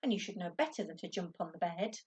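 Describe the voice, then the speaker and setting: A woman's voice: fairly low pitch, animated, quick pace, a little mellow, husky. A woman voicing a stern but caring mother in a storybook, firm and no-nonsense.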